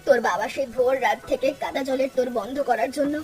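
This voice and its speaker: A woman as an urgent, loud village mother. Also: sits high, fast, recorded from a distance, soft background music, lightly acted. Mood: urgent, alarmed. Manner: urgent, commanding. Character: urgent, bossy village mother of a grown son. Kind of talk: Bengali cartoon dialogue.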